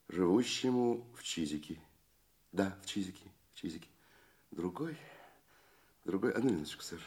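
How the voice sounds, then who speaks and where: An actor playing an obsequious, easily flustered shopkeeper in a Russian radio drama, hesitant and nervously repeating himself. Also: male voice, deep voice, darkish tone. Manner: servile, repetitive, hesitant, nervous. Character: flustered, obsequious, indignant male London shopkeeper. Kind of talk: Russian radio play acting.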